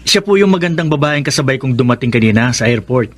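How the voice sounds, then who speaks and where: A man's voice: mid-range voice, lively intonation, quick pace, natural voice. Actor as devoted, good-natured son in radio drama; sincere, medium male register. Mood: happy, proud. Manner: earnest, sincere. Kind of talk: Filipino radio drama acting.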